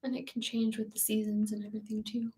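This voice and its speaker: A woman in her late twenties co-hosting a live question-and-answer chat, speaking in a calm, matter-of-fact way. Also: medium pitch, fast. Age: 20s. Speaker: co-host.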